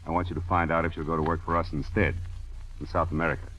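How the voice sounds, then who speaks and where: An actor playing a businesslike American government intelligence chief, brisk and dry, in a 1940s radio drama. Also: male voice, extremely low pitch, level tone, a bit bright. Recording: muffled vintage radio broadcast.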